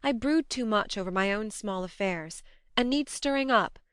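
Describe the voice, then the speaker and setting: A woman acts out a young elder sister from a classic storybook. She is brisk, blunt and affectionate, with a clear, smooth voice in a dry recording. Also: medium pitch, animated, a bit bright, dry recording, close to an everyday voice. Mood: restless, earnest.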